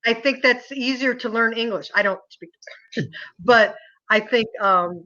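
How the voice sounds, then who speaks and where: Bright-voiced older American tutor woman. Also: on the high side, normal speed, muffled recording. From United States. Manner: chatty, friendly, asks questions. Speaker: online English tutor. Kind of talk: casual conversation lesson. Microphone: video call.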